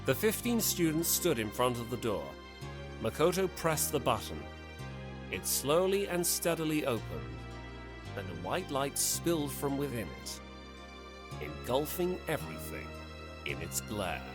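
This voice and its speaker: Man, audiobook narration, scripted, expressive, varied pitch, faint music underneath. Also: a little slow. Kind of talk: scripted prose narration and reading of notes.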